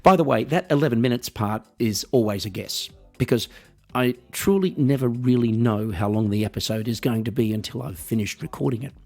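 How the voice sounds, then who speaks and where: Husky Australian man, wry monologue. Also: medium pitch, a little fast, darkish tone. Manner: wry, rambling, mock-earnest. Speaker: podcast host. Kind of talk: comedic monologue.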